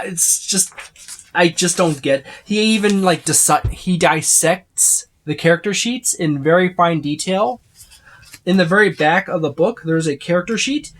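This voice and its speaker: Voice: medium pitch, slight background noise. Casual, rambling tabletop rulebook reviewer. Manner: rambling, repetitive, emphatic itemizing. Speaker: tabletop game book reviewer. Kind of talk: casual unscripted overview of a rulebook.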